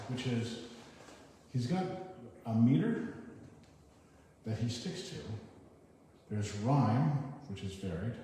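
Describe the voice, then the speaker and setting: Echoey, mellow-voiced man reading poetry. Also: mid-range voice. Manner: informal, halting, self-deprecating between poems. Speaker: reader at a poetry event. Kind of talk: live poetry reading with casual commentary. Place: echoey hall at a live event. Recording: noisy, echoey hall, distant mic.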